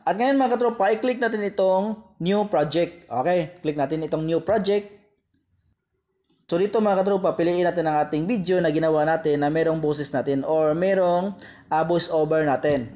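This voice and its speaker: A Filipino man with a fairly high voice narrates an app tutorial. He talks straight to viewers as friends and repeats each step as he goes. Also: moderate pace, mellow. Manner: repetitive, addressing viewers directly as friends. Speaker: tutorial host.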